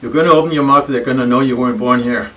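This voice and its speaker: A man's voice: mid-range voice, steady tone. Older Danish-accented male lecturer, wry and reflective; far-off camera audio with faint noise. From Denmark. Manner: thoughtful and wry, with pauses and self-deprecating asides. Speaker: speaker giving a library talk. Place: wood-paneled library room. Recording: distant room recording with faint noise.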